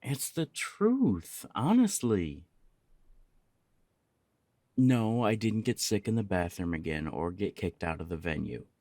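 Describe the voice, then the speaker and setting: A man's voice: on the low side, very animated, slow pace, long pauses, darkish tone, no room sound, studio quality, heavily acted. A young man playing an easygoing, affectionate punk roommate in an intimate ASMR roleplay, chatting casually and teasingly. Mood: defensive, earnest. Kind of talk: intimate ASMR roleplay, one side of a conversation.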